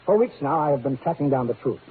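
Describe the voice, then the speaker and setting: A man's voice: a little high, lively intonation, fast, close to an everyday voice. Pompous crusading newsman, big swooping delivery, acted by a man. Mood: pompous, urgent. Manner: pompous, oratorical. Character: self-important crusading newspaper man, theatrical, fearless boaster. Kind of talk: 1950s radio drama acting. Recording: tinny, narrow old radio recording, faint noise.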